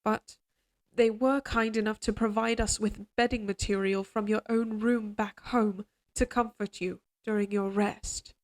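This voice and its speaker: Woman acting a slow-speaking, regal evil queen. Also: medium pitch, little pitch movement, close to an everyday voice. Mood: tender, reassuring. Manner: formal, measured, courtly diction. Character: regal possessive evil queen, tender yet coldly controlling. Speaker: voice actor in audio roleplay. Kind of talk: slow dramatic audio roleplay monologue.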